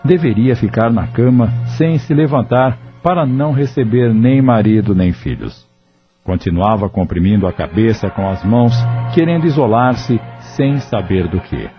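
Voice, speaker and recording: A man narrating an audio drama in a measured, even storytelling delivery. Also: medium pitch. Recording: dull lo-fi audio with soft music.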